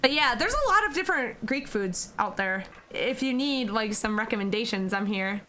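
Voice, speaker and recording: A woman's voice: on the high side, animated, normal speed, bright, forceful tone, faint music behind. A young American woman streaming, chatting casually with her viewers and giggling often. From United States. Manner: giggly, chatty, answers chat questions.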